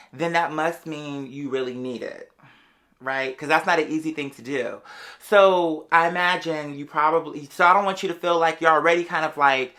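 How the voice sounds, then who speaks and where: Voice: mid-range voice, sharp tone. A vlogger telling a rambling personal story in a casual, sassy, slangy way, often drifting off on asides. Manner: rambling, digressive, sassy slang, calls everyone "girl".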